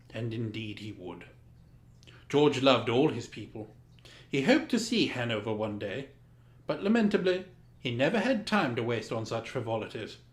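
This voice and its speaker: A man playing a pompous Georgian lord, reading a children's storybook with dramatic, deliberate diction. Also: medium pitch, close to an everyday voice. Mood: stately. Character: pompous Georgian English lord reading a mad royal tale. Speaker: storyteller, host in the guise of a lord. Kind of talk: theatrical children's storybook narration. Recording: roomy echo, music underneath.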